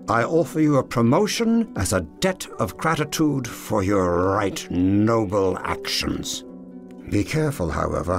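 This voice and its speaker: Grave game king, creaky, formal, unhurried, faint music behind. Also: medium pitch. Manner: regal, formal. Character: stately, grave medieval king, grandly courteous. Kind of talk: video game cutscene acting.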